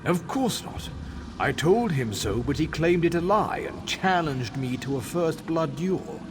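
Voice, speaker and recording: A man's voice: on the high side, expressive, unhurried. A man playing a dutiful, humbly loyal temple spy in a fantasy game, speaking with formal, earnest deference. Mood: remorseful, grave. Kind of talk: scripted fantasy game dialogue. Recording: game ambience noise behind.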